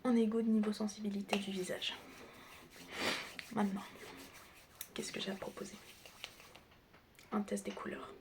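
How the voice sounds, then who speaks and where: A woman speaking softly and often whispering in a playful, teasing ASMR roleplay, casually addressing the listener as a friendly doctor. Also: medium pitch, little pitch movement, moderate pace, pauses often. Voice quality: soft, breathy, often whispering. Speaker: ASMR creator playing a chaotic doctor best friend. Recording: close recording with clear background noise. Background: background noise, clicking.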